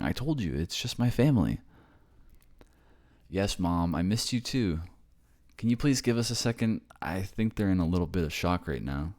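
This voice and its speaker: A man playing an earnest, very deep-voiced boyfriend. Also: a little mellow. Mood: reassuring, mildly exasperated. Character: earnest young man raised by ghosts, reassuring his partner. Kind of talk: ASMR roleplay audio drama acting.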